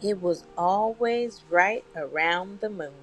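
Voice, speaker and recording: A woman reading a children's picture book at bedtime in a measured, expressive storytelling manner. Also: medium pitch, faint music behind. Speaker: storyteller, channel host.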